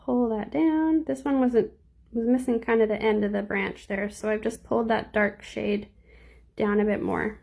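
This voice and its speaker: Slightly squeaky woman teaching nail art. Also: fairly even intonation, normal speed, creaky, darkish tone. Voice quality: a little squeaky. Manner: chatty, reads and answers viewer comments. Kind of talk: live nail art tutorial.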